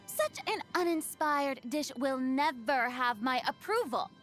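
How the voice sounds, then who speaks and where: High-pitched theatrical young diva. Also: female voice, big pitch swings, slow, fairly bright tone, faint music behind, full character voice. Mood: haughty, critical. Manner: theatrical, refined, haughty diction with dramatic swings. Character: theatrical young woman, proud, dramatic former stage idol, secretly wistful. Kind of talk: video game character voice lines.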